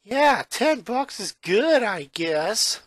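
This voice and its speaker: A man's voice: high voice, big pitch swings, takes it slowly, a bit bright, dry recording, clean studio sound, pitched above the performer's own voice, heavily acted. A man voicing an eleven-year-old boy, a reluctant, sarcastic and grumbling sidekick. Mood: sarcastic, annoyed.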